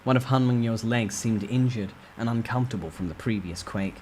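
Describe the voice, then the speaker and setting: A man's voice: medium pitch, brisk, darkish tone, a little hiss or hum. A man reading a fantasy novel aloud as a dramatic, suspenseful first-person narrator. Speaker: amateur story narrator.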